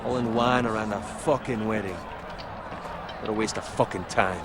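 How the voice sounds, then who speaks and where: An actor playing a proud, grumbling young squire in a fantasy video game, sardonic and coarse. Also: male voice, fairly low pitch, steady tone, moderate pace, noisy background, natural voice. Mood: resentful, grumbling. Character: bitter, foul-mouthed young male squire, grumbling and proud. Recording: loud music behind the voice.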